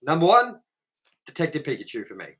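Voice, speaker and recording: A man's voice: on the high side, huge pitch swings, fairly quick, a bit bright. A man hosting a casual movie review show, jokey and loose, swearing freely. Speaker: show host.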